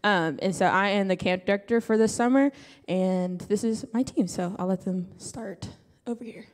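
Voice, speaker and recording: A woman's voice: medium pitch, moderate pace. A young woman from Kentucky speaks into a handheld mic in a church sanctuary. She sounds friendly and a little hesitant, with a few fillers, and her voice sits in a middle range. The big room gives it a long echo. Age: young adult. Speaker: camp director. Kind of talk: casual introduction. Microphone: handheld microphone. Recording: reverberant church hall.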